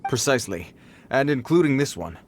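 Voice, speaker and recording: A man's voice: mid-range voice, animated, fairly quick, slight background noise, close to an everyday voice. A man playing a dutiful, easily flustered patrol guard in a video game, speaking formally and with stiff politeness. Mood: formal warning.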